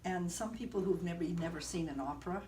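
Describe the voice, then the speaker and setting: A woman's voice: fairly low pitch, fast. Chatty soprano woman, distant mic. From partly Caribbean. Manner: lively, laughing, chatty. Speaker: opera director and soprano. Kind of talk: casual interview chat. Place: indoor concert venue. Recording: distant mic, noisy room.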